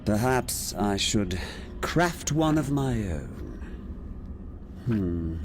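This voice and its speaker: A man's voice: on the low side, big pitch swings, drawn-out words, background noise, heavily acted. A male actor playing a smug, scheming supervillain in a video game, gloating with mock courtesy. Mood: smugly amused. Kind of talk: video game villain acting.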